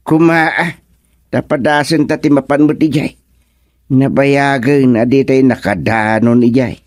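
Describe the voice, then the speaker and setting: A man's voice: mid-range voice, steady tone, measured pace, darkish tone, dry recording, clean studio sound, natural voice. An actor playing a stubborn, reassuring older fisherman father with an Ilocano accent in a radio drama comedy. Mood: casual, decided.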